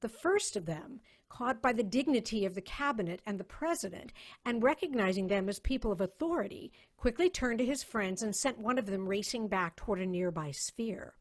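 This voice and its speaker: A woman's voice: medium pitch, animated, moderate pace. A woman reading scripted story narration in a dramatic style, as the narrator.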